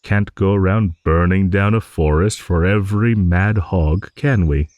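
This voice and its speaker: A man's voice: low-pitched, measured pace, no room sound, muffled recording. A man voicing a rambling old dragon, genial, wistful and a little vain, in an improvised fantasy audio monologue. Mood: playful storytelling. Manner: rambling, musing, with hums and soft chuckles.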